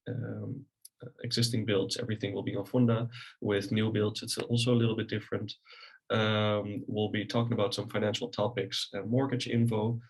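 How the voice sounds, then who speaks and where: A man's voice: lowish voice, vocal fry, rough recording. A young man with a Dutch accent presenting a webinar in a chatty way, full of ums and restarts. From Netherlands. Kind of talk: casual presentation.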